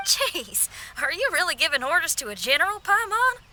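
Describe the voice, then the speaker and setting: High, spirited young adventurer girl. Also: very animated, moderate pace, sharp tone, slight background noise, exaggerated voice. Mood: easygoing, teasing. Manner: folksy, casual, spirited. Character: breezy, generous, confident young female adventurer. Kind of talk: video game voice acting.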